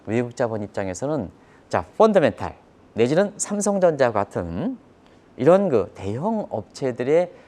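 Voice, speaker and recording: A man delivers economic commentary for a live broadcast. His voice is mellow and relaxed in timbre, yet his intonation is very lively. He explains things emphatically. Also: mid-range voice, normal speed. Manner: emphatic, explanatory, poses rhetorical questions.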